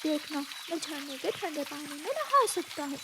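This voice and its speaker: A very high, childlike little boy bird from a cartoon, performed by a woman. He sounds small, plaintive and whiny, and there is clear noise behind him. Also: sing-song, moderate pace. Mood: sulky.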